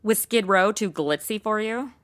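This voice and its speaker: A woman's voice: medium pitch, moderate pace, close to an everyday voice. A woman playing a brash, self-absorbed, sarcastic young American in an audio comedy drama. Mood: snarky. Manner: sassy, snarky.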